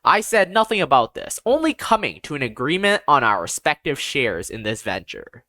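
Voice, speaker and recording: A narrator of ambiguous-sounding gender reading a first-person fantasy story in a measured, deliberate, expressive way. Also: mid-range voice, dry recording, clean studio sound. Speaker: audiobook narrator.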